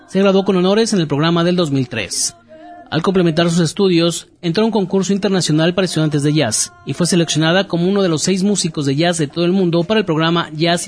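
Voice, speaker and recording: A man hosting a radio jazz program, reading an artist's biography in a measured, formal manner. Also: on the high side.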